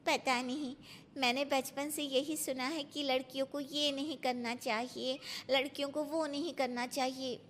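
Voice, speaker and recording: Woman as a shy, high-voiced village sister-in-law. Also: steady tone, a little slow, fairly bright tone, slight background noise. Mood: timid, regretful.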